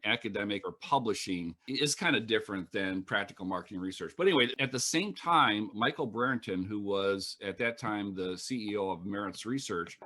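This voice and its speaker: A middle-aged man, a professor, answering interview questions in a measured, matter-of-fact storytelling way. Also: on the low side, a bit bright. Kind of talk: reflective storytelling.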